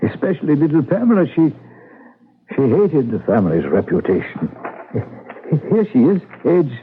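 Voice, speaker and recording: An actor playing an anxious, secretive man devoted to a family's past, pleading and halting in a radio mystery. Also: fairly high pitch, sing-song, a little hiss or hum. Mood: wistful. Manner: pleading, halting, wistful storytelling. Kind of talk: 1970s radio mystery acting. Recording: muffled narrow-band vintage radio broadcast.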